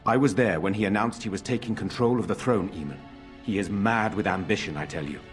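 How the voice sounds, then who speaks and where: A man's voice: on the low side, fairly quick, soft background music, lightly acted. An actor playing an earnest, concerned nobleman in a fantasy video game, speaking formally. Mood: urgent, troubled. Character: earnest, concerned nobleman, younger brother of a lord. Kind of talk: dramatic video game voice acting.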